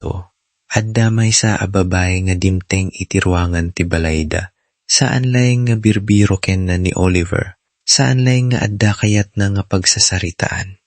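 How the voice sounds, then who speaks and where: A male host narrates a scripted drama with a Filipino accent. His voice is deep, and the recording is close and dry. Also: unhurried, dry recording.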